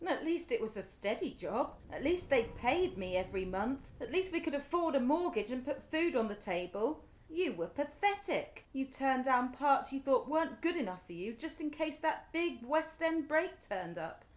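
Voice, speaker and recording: Actress doing highly strung british wife, radio drama, high voice all over the place, bitter, sarcastic, blaming. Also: female voice, very animated, normal speed, few pauses. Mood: resentful. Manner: accusing, sarcastic, emotional. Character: resentful, highly strung middle-aged wife and mother. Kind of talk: radio play acting.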